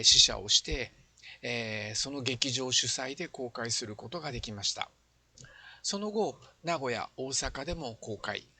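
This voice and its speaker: A man's voice: medium pitch, pushed and bright. An older man, speaking earnestly and explaining as he looks back in an interview. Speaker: former amateur filmmaker, interviewee. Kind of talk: reflective retrospective interview talk.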